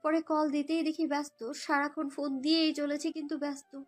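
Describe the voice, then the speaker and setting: A woman's voice: high voice, little pitch movement, brisk. A young woman narrates a romantic story in the first person, in an emotive, girlish way, as if living it. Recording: background music under voice.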